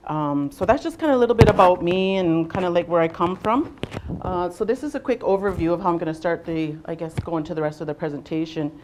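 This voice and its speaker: Low-voiced woman presenting without a mic. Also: fast, slight background noise, poor audio. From Southwestern Ontario, Canada. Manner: loud voice, unamplified, frequent ums and uhs. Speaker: workshop facilitator, nurse navigator. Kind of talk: presentation to a workshop audience. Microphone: no microphone, speaking to a room.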